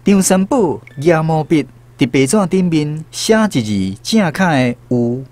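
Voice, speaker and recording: A man with a Taiwanese Hokkien accent narrating a scripted radio story in an expressive storyteller's style. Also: fairly high pitch, a little slow, slight background noise.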